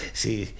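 Stammering, flustered government minister. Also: male voice. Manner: hesitant, stammering, repeats himself. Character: flustered, eager government minister, a male Excellency. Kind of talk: German radio play acting.

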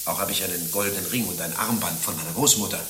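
A man's voice: deep voice, quick pace, bright, forceful tone. A man playing a lovesick, naive young man in a satirical radio play, pleading desperately and melodramatically. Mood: stunned despair. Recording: roomy, with faint hiss.

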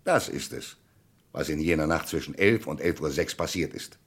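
Shrewd police commissioner, low husky voice, swinging intonation, methodical, radio crime drama. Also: male voice, lively intonation, moderate pace, heavily acted. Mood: insistent, deductive. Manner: methodical, probing, declamatory interrogation.

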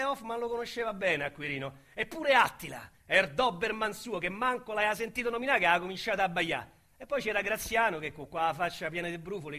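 A rough young Roman street criminal making a confession in a radio play. His voice is high and bright, with a sharp edge. He tells it vividly in thick slang, halting and agitated. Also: heavily acted. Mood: agitated storytelling. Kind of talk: dramatic radio monologue, colloquial storytelling.

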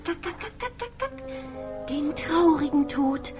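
Shrill, spiteful chattering bird. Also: female voice, very animated, slow pace, vocal fry, music in the background, exaggerated voice. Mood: pronouncing judgement. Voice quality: shrill, clucking chirps. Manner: taunting, exclamatory. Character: spiteful chattering female bird of the sun's bird kingdom. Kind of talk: surreal radio play acting.

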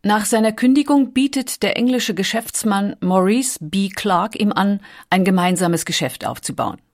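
A woman's voice: medium pitch, moderate pace. A woman reads scripted documentary narration as an audiobook narrator.

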